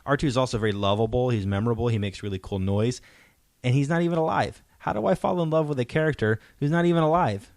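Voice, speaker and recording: A man's voice: medium pitch, fast talker, creaky, darkish tone, no room sound, studio quality. A man hosting a podcast, chatting casually as a fan in an enthusiastic, emphatic way that seeks agreement. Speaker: podcast host.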